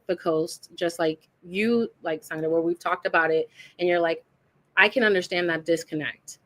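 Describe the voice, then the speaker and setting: Woman podcast co-host, slightly low voice, chatty and animated. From Puerto Rican heritage, raised in the US. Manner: animated, chatty, thinks aloud with many likes. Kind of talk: casual panel chat.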